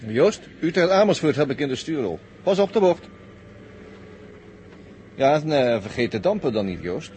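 A man's voice: fairly high pitch, big pitch swings, normal speed, pauses often, a little mellow, a little hiss or hum. An actor playing a casual, wry crewman at the wheel, talking colloquially in an old radio drama. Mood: curious. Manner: colloquial, flippant. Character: casual, wry male crew driver, former medical student. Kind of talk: 1960s radio drama acting.

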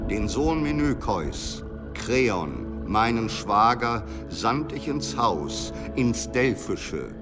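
An actor playing a solemn, burdened king in a radio drama, declaiming tragic verse gravely with weighty, halting pauses over music. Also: mid-range voice. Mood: solemn resolve. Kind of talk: slow theatrical verse declamation. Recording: loud music under the voice.

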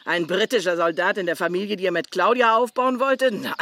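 An actress playing a blunt, bitter woman who has kept secrets for years, speaking deliberately and sardonically in a German crime radio play. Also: mid-range voice, a bit bright, close to an everyday voice. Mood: revealing, stern.

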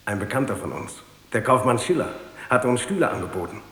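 An actor playing a proud, eager, dutiful witness who gives formal, emphatic testimony in a radio drama. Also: male voice, mid-range voice, animated, fairly quick, fairly bright tone, close to an everyday voice. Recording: echoey hall with long reverb.

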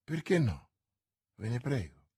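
Guy doing a cool scheming lady from an old novel, watchful, slow talker. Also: medium pitch, big pitch swings, mellow, slightly rough, no echo, clean studio sound, exaggerated voice. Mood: controlled unease. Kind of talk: audiobook character voice, classic novel.

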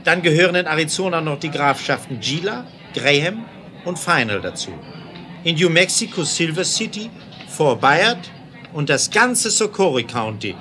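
An actor playing a sober, unflappable railroad aide in a 1970s German radio play, speaking in a precise, factual way. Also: male voice, a little high, big pitch swings, normal speed, pushed and bright, faint music behind. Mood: explanatory.

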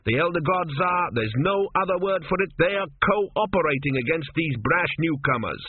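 A man doing an excitable storybook priest, gushing sports-style commentary, high pitched, swooping widely. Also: normal speed, slightly rough, dry recording, narrow, tinny audio. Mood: mounting excitement.

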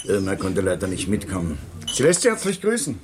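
A man's voice: low-pitched, huge pitch swings, fairly quick, a little hiss or hum. An actor playing a fatherly, somewhat naive middle-aged man with a familiar, effusive manner, in 1960s German radio drama style. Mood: friendly, pleased. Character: fatherly, admiring, somewhat naive older acquaintance.

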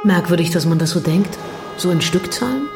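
A woman's voice: on the low side, expressive, a little fast, over music, lightly acted. An actress playing an earnest woman in radio drama dialogue, questioning and direct. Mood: curious. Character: probing, earnest woman in conversation with a writer.

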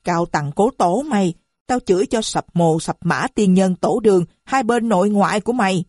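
A woman voicing a shrill, boastful, foul-mouthed middle-aged mother who screeches her abuse. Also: normal speed, darkish tone, no room sound, studio quality, natural voice. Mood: screaming.

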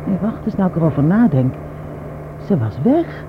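Thoughtful concerned lady in a radio drama, lively melody, thin phone-like sound. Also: on the low side, moderate pace. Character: concerned, thoughtful woman friend back from travels. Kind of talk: radio play acting. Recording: noisy, tinny narrow-band radio play recording.

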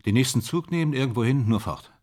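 A man's voice: mid-range voice, lively intonation, fast, a little mellow, natural voice. A man playing a weary, wryly fatalistic stage actor in a German radio drama, telling things in clipped, ruminative phrases. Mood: wry, restless.

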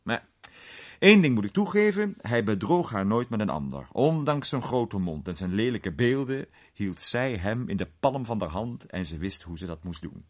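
Worldly actor telling his story in a radio drama, raspy voice, very animated, conversational with little hesitations. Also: on the low side, moderate pace. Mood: amused, frank. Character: worldly male film actor recounting an unsettling memory.

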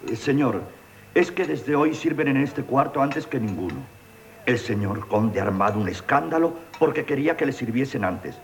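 An actor playing a timid, lovestruck, deferential manservant who speaks hesitantly, with a Castilian Spanish accent, in a radio play. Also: male voice, mid-range voice, lively intonation, normal speed, lightly acted. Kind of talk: 1970s Spanish radio theatre acting.

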